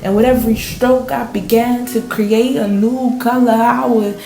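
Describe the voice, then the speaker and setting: Woman acting a slow, high-voiced haunted fisher. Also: fairly even intonation, creaky, mellow. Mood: wondrous. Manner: intense, colloquial storytelling with drawn-out words. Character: storm-shaken fisher recounting a surreal vision at sea. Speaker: voice actor in a radio play. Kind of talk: dramatic radio play monologue. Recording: roomy, echoing sound.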